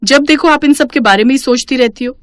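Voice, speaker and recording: A woman's voice: high voice, a little fast, no echo, studio quality, pitched above the performer's own voice, close to an everyday voice. A woman narrator voicing an indignant, protective grown daughter in a family story, firm and emphatic.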